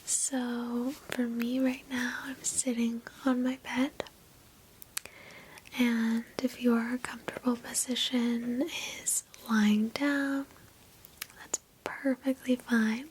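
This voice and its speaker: A woman leads an ASMR meditation in a soft, breathy whisper. She speaks extremely slowly and keeps a fairly even tone, gentle and reassuring throughout. There is a faint noise in the recording. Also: on the high side, poor audio. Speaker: ASMR host guiding a meditation. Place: indoors, sitting on a bed.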